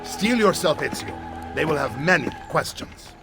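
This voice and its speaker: An actor playing a dry, loyal older Renaissance lord in a video game, with a gravelly Italian-accented voice. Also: male voice, on the high side, animated, normal speed, over music. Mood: wry, steady. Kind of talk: video game cutscene acting.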